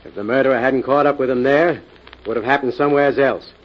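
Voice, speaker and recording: An actor playing a gruff, blunt, skeptical police inspector in the style of 1940s radio drama. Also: male voice, medium pitch, brisk, close to an everyday voice. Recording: dull, muffled old radio recording.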